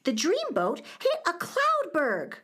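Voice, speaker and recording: Woman plays an eager ship's first mate, children's radio play: very high, wildly swooping, earnest. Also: slow, full character voice. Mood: earnest, urgent. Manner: theatrical, earnest, expressive. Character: eager first mate of a flying dream ship.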